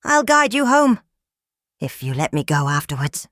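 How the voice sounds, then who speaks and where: A woman's voice: low voice, sing-song, moderate pace, a bit bright, no room sound, clean studio sound. A woman voicing a clever, defiant young girl in a children's storybook, speaking up in a plucky, firm way. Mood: confident.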